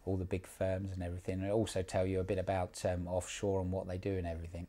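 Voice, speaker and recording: A man mentoring someone one-on-one in a casual, relaxed way, repeating words and using many fillers. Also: low voice, fast talker. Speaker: job placement mentor.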